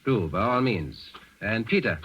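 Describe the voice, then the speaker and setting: An actor playing a smug, menacing German district governor in a 1940s radio drama, brisk, formal and self-important. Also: male voice, lowish voice, animated, a bit bright, breathy edge, exaggerated voice. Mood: probing, menacing. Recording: dull vintage radio broadcast.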